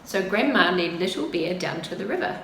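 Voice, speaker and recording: A woman's voice: fairly low pitch, lively intonation, fairly quick, fairly bright tone. A middle-aged woman narrating a picture book expressively for preschool children, recorded indoors a short distance from the camera. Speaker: preschool story-time reader. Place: indoors, small plain room. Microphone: camera a short distance away. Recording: roomy, faint background noise.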